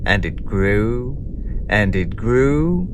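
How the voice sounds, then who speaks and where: A man's voice: fairly low pitch, sing-song, very slow, noisy background. A man reading a children's story aloud theatrically, suspenseful and sometimes dropping into whispers. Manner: dramatic, suspenseful, drops into whispers. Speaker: storybook narrator. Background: sound-effect ambience.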